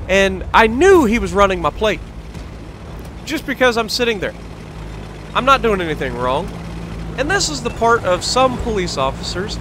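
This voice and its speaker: A man's voice: high voice, noisy background. An American man telling a rambling personal story as he casually commentates over gameplay in a conversational way. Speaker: gaming video host.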